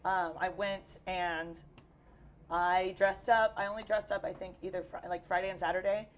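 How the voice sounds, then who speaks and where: A young Texan woman talks about her hobby in a chatty, upbeat way, her voice worn and a little hoarse. She sits a bit low in pitch for a woman. A faint hum of a busy convention floor runs underneath. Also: little pitch movement, moderate pace. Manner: chatty, upbeat, lots of fillers. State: losing her voice. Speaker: cosplayer guest. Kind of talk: casual interview chat.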